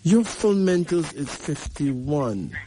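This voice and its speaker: A man's voice: a little high, big pitch swings, slow pace, mellow, a little hiss or hum, low-quality recording. A man hosting a show, giving an emphatic numerology reading and checking in with the caller again and again. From Barbados. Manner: emphatic, repeats "right?" to the caller. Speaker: radio host.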